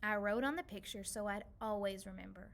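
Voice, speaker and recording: A woman voicing a kindly, lonely old woman in a children's storybook, gentle and soothing as she reminisces. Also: mid-range voice, a little fast, vocal fry, close to an everyday voice. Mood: gentle, nostalgic. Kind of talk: children's storybook read-aloud.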